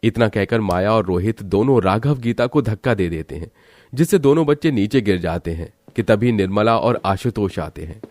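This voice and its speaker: Casting: male storyteller for scripted moral tales, fairly low pitch, close dry sound. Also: animated, normal speed, a little mellow, dry recording. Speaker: narrator.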